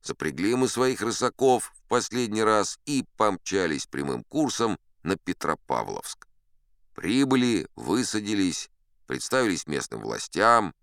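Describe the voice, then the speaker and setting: A man's voice: lowish voice, sing-song, measured pace, fairly bright tone, no room sound, clean studio sound, exaggerated voice. An actor playing a boastful old sea captain, telling his tall tales in a folksy, self-assured, conversational way. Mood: self-satisfied. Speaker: audiobook performer narrating in character. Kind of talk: dramatic first-person storytelling.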